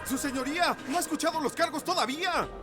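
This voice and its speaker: A man's voice: extremely high pitch, quick pace, loud music behind the voice. A man voicing a curt judge in a clip, snapping out sentences.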